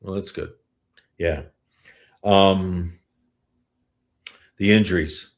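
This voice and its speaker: Low-voiced North American sports podcast host. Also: male voice, steady tone, drawn-out words. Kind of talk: casual sports discussion.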